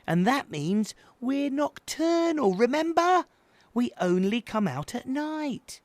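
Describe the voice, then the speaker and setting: Adult narrator as young brother owl, storybook character, high pitch, bossy, lecturing, exasperated, animated intonation. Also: slow, no echo, full character voice. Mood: condescending, exasperated. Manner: lecturing, exasperated, hushed insistence.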